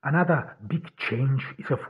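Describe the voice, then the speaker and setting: A man in his thirties from Austria, with an Austrian German accent, hosting a solo podcast and speaking haltingly with many restarts and fillers. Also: mid-range voice. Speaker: podcast host. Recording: husky voice, phone-like lo-fi audio.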